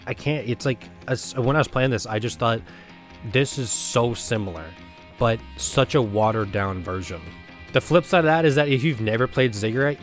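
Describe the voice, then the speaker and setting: A man hosting a casual video game review, talking in a blunt, rambling, conversational way. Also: mid-range voice.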